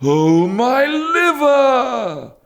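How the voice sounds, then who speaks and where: A man's voice: very high voice, sing-song, drawn-out words, pitched above the performer's own voice, full character voice. A man voicing a crazed, drunken old shopkeeper in an audiobook, crying out in a frantic singsong that rises and falls. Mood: raging, shrieking. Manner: wailing exclamations in a rising and falling singsong gust.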